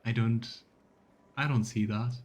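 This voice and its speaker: A man's voice: medium pitch, fairly even intonation, unhurried, darkish tone. A man chatting casually as a let's play host, musing and laughing easily. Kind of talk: casual gameplay commentary.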